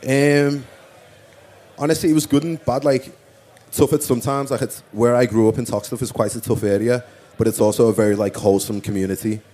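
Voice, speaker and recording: A man's voice: medium pitch, fairly even intonation, brisk, dark tone. A man with a Liverpool accent, a musician talking casually in an interview, rambling with lots of "like" and "you know what I mean". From Liverpool, England. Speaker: musician guest.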